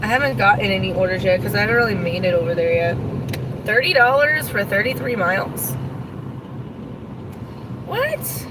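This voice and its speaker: A woman's voice: medium pitch, animated, normal speed, long pauses. A woman from Kansas with a light Midwestern accent, chatting casually with live-stream viewers and reacting to delivery job offers, a bit sneezy. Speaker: livestreamer and delivery driver. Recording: loud road noise, mic at a distance.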